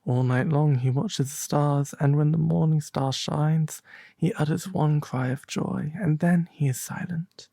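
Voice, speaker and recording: A man voicing a kindly, earnest little swallow in a storybook, soft and breathy, wistful and gentle. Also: a little high, steady tone, unhurried, no room sound, clean studio sound. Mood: dreamy.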